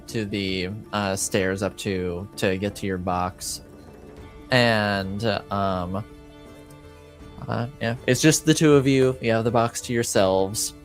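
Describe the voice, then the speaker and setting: A man improvising narration as game master of a tabletop roleplaying game, halting as he searches for words. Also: lowish voice.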